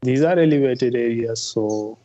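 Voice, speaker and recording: A man's voice: mid-range voice, a little slow, warm tone. A young man in his twenties with a Pakistani accent, a Pashto native speaker, answering hesitantly with many fillers over an earphone mic on a video call. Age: 20s. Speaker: guest, drone pilot. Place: plain room indoors. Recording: dull lo-fi call audio.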